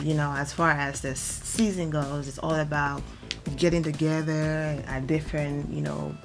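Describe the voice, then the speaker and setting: A hoarse woman, nearly losing her voice, chatting through celebrity gossip as a vlogger in a rambling, filler-heavy way. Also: deep voice. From Atlanta, Georgia. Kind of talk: casual gossip commentary.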